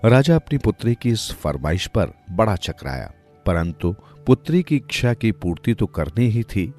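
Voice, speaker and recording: A man's voice: low voice, a little mellow. A man narrating a moral folk tale from a script, as a storyteller.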